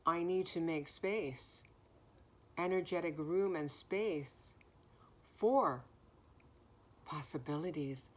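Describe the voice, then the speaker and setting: A woman giving a spiritual message in a gentle, soothing way, with a naturally deep voice that is somewhat hoarse. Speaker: spiritual card reader. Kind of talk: spiritual message, slow and reflective.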